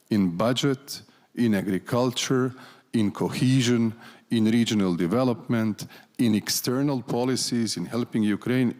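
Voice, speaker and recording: A man speaking as a conference panelist, talking deliberately and often restarting his phrases. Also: mid-range voice, a little mellow. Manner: deliberate, with frequent restarts and fillers. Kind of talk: panel discussion remarks. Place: large conference hall. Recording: long reverb of a large hall.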